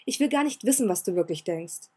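A woman's voice: mid-range voice, animated, fairly quick, dark tone, husky. A woman voicing a cold, sulky young earl who speaks curtly and sarcastically.

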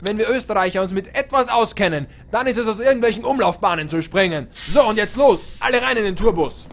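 A man's voice: high-pitched, fast, a bit bright. A man playing a bold, mock-grand starship captain in a comic audio drama. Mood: urgent, commanding. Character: bold, mock-heroic starship captain of a tour bus crew.

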